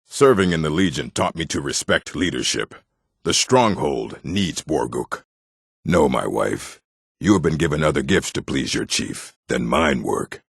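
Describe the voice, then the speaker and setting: An actor voicing a proud, gruff orc warrior in a fantasy video game, gravelly and husky, with a stern, blunt delivery. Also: male voice, rumbling low, lively intonation, unhurried, no echo, studio quality, full character voice. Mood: dutiful, proud.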